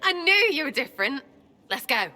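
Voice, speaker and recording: A woman's voice: sits high, very animated, brisk, sharp tone, in a large hall, heavily acted. An actress with an English accent voicing a cheeky, irreverent young woman prankster in a video game, brash and slangy. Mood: eager. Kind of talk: video game character acting.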